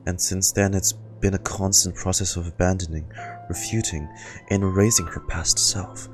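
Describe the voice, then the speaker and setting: A man's voice: deep voice, steady tone, normal speed. A man streamer reacting casually to a game and reading its dialogue aloud over game music. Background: loud game music.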